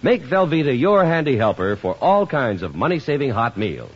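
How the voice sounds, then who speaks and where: A man's voice: medium pitch, sing-song, moderate pace, slightly rough. A man announcing on 1950s radio, reading scripted program notes and sponsor copy in a polished, emphatic way. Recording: dull vintage radio, faint music.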